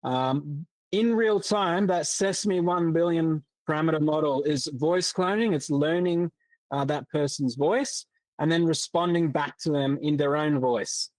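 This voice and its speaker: A man presenting a software demo in a casual way, with many fillers and restarts. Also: fairly high pitch, unhurried, no echo, poor audio. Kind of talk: explaining a tech demo.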